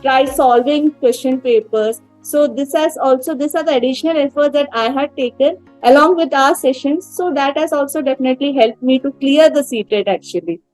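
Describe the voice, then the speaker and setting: A woman's voice: sits high, moderate pace, hardly any pauses, darkish tone, no echo, soft background music, poor audio. A woman in her thirties with an Indian English accent, speaking earnestly as an interview guest, with fillers and restarts. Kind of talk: casual interview talk.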